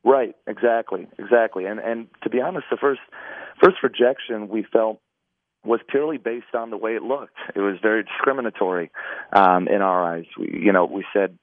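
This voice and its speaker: Hoarse, raspy male guest on a phone line, low-pitched, halting with restarts. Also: no room sound. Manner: hesitant, with many restarts and fillers. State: hoarse after three days of talking. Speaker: golf company co-founder guest. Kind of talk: interview over a phone line. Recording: tinny dry phone line.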